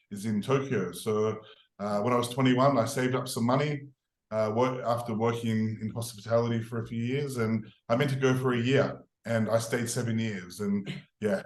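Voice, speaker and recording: A middle-aged man with an Australian accent, a podcast guest telling his story in a relaxed, rambling way with many fillers. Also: fairly low pitch, reverberant. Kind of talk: podcast interview.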